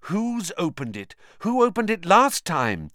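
A man's voice: high-pitched, huge pitch swings, a little slow, pushed and bright, dry recording, studio quality, voice pushed up, exaggerated voice. A man voicing a brave, stubborn schoolboy wizard who asks firm, urgent questions.

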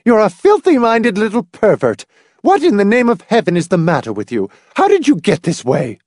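Audiobook mother by a male reader: raised pitch, animated, reproving, prim, irritable and easily outraged. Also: moderate pace, no room sound, clean studio sound. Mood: scandalised. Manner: reproving, tight, indignant. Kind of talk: audiobook character voice.

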